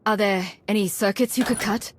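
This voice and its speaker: A woman voicing a cool, pragmatic commander in a video game, with a husky voice and dry, understated delivery. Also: mid-range voice, steady tone, fairly quick, lightly acted. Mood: urgent.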